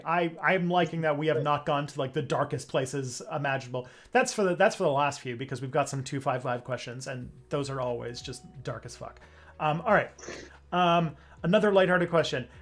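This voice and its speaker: A man hosting a livestream in casual, joking chat full of fillers. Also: a little high. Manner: chatty, filler-heavy, joking. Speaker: livestream host.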